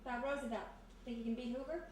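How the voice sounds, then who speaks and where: An actress playing a quick-thinking, wry former vaudevillian who assists a detective in a 1930s-style radio mystery. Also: female voice, fairly high pitch, steady tone, a little mellow, close to an everyday voice. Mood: flirtatious, tender. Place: live performance in a historic house. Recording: echoey live stage, distant mic, clear noise.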